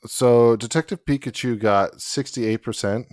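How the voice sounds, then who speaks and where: A man hosting a casual podcast chat in a relaxed way. He chuckles often and reads figures off his notes. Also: medium pitch, measured pace, no echo, studio quality. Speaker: podcast host.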